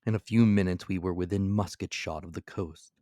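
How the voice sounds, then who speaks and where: A man reading classic adventure fiction as a dramatic audiobook narrator, in a measured, deliberate manner. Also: low voice, fairly even intonation, mellow, no echo.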